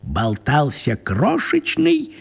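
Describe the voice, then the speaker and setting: A male storyteller narrates for children's radio in a fairly high voice. His pitch leaps about dramatically while the delivery stays slow and slightly husky. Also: huge pitch swings. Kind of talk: expressive scripted storytelling for a children's radio play.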